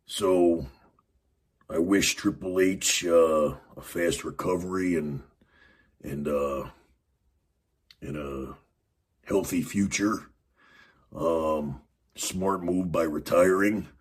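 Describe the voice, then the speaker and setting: A man with a raspy, extremely deep voice hosts a podcast on his own. He talks in a loose, rambling way, full of "you know" and "uh". There is a breathy roughness to his tone. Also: steady tone, takes it slowly, pauses often. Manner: rambling, many fillers and restarts. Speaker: podcast host. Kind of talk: casual wrestling commentary monologue.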